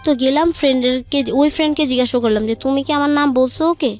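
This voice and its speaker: High-pitched young Bangladeshi woman telling her story. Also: fast talker, faint music behind. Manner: colloquial, recounting dialogue turn by turn. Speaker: guest telling own life story. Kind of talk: conversational personal storytelling.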